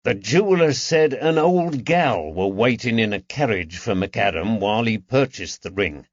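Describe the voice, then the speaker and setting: Man reading constable, wry, blunt, lively intonation. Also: lowish voice, moderate pace, dry recording, dull audio. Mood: earnest.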